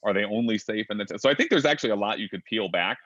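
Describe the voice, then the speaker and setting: A man's voice: on the low side, lively intonation, very fast, a bit bright, no room sound, dull audio. A man moderating a casual online fan panel, chatty and thinking aloud with many fillers.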